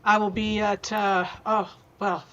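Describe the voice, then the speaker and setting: A woman's voice: mid-range voice, flat delivery, measured pace, a bit bright, highs cut off, slight background noise. A woman guest, a new game master, answering hesitantly with fillers. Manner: hesitant, giggly. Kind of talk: casual chat.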